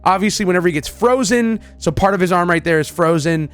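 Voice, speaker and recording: A man with a slightly high voice talks as a gaming video creator. His commentary is casual and excited, wandering loosely from thought to thought. Music plays faintly behind him. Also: fast, clean tone. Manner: informal, enthusiastic, rambling.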